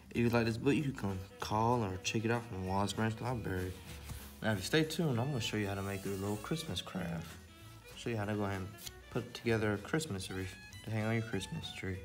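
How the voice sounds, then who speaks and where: A man with a fairly low voice hosts a children's story time. He presents as a storyteller and casual instructor, speaking to young listeners. Music plays behind his voice. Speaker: library story time host.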